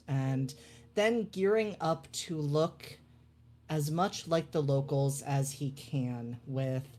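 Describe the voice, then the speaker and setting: A player introducing and describing their character in a deliberate, detailed way. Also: medium pitch, a little mellow.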